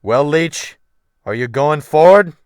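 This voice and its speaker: A man's voice: a little high, animated, slow pace, clear, resonant tone, dry recording, clean studio sound. A man acting a cruel, barking sea captain. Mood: threatening. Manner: barking orders, domineering, mocking. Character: cruel, commanding sea captain, cold and brutal.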